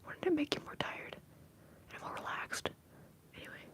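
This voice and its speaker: A woman hosting an ASMR live stream, whispering softly as she rambles and greets viewers in the chat. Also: moderate pace. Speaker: ASMR livestream host.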